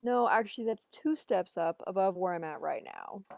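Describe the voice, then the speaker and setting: A woman's voice: on the low side, animated, normal speed, vocal fry, no room sound, clean studio sound. A woman reading the part of an earnest, awkward young male insurance appraiser in an amateur table read. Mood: defensive.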